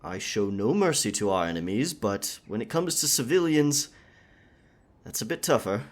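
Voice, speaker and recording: A man's voice: mid-range voice, sing-song, moderate pace, lightly acted. A man voicing an earnest, courteous royal knight in a scripted roleplay audio, speaking formally and chivalrously. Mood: flustered protest. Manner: formal, chivalrous, wordy speech.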